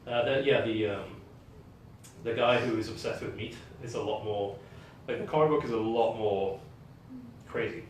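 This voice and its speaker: A man's voice: lowish voice, normal speed, pauses often. A man in his thirties with a mixed colonial English expat accent, chatting casually as a game designer guest, rambling with many fillers and frequent laughs. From England. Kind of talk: casual interview chat. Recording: echoey room, distant mic, faint noise.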